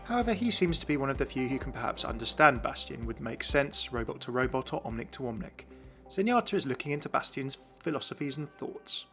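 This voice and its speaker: A man's voice: medium pitch, big pitch swings, a little fast, pushed and bright, faint music behind. A man giving an explanatory voice-over as the host of a game lore series.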